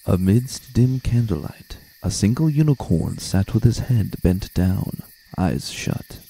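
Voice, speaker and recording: A man narrating a story dramatically in a hushed, atmospheric way. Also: fairly low pitch, animated, slow pace, vocal fry, slight background noise, rough recording.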